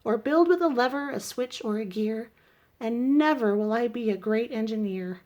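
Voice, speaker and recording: Woman playing young storybook girl, shy, discouraged, earnest self-doubt, slightly raised pitch. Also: moderate pace, darkish tone, natural voice. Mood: despairing.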